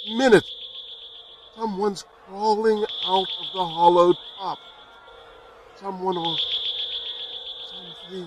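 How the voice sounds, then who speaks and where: Slow, halting, horrified radio field reporter. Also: male voice, sits high, noisy background. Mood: horrified awe. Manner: halting, breathless eyewitness commentary with dramatic pauses. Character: awestruck, increasingly terrified male radio news reporter. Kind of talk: 1930s radio drama acting.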